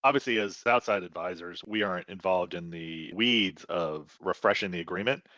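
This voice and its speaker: A man giving conversational expert commentary as a tax advisor on a business podcast, explaining in a measured way. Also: low-pitched, sharp tone, no room sound, highs cut off.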